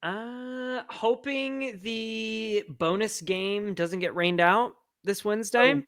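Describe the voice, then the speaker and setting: A woman's voice: on the high side, slow, clean tone, no echo, studio quality. A woman co-hosting a sports podcast in casual conversation. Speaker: podcast co-host.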